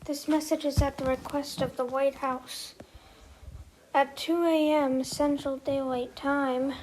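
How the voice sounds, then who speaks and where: A woman reading a scripted mock emergency broadcast as its announcer, in a halting, amateur way with pauses mid-sentence. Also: sits high, a little mellow, a little hiss or hum, poor audio. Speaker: emergency broadcast announcer in a hobby scenario video.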